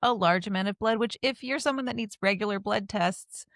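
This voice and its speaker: Woman, podcast co-host, mid-pitched, chatty and rambling, close dry mic. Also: fairly quick, fairly bright tone, no room sound. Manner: chatty, rambling, lots of fillers. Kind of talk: casual chat.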